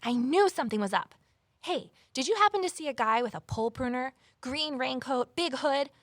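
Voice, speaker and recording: Animated, eager rookie woman sleuth. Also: high voice, moderate pace, dry recording, studio quality. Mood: inquisitive. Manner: earnest, apologetic, inquisitive. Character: eager, flustered amateur sleuth, rookie conservation officer. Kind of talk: naturalistic audio drama acting with first-person narration.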